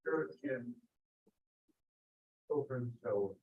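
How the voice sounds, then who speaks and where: A young man in casual chat, bantering haltingly with sudden exclamations. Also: medium pitch, recorded from a distance. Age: young adult. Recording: dull, echoey room sound.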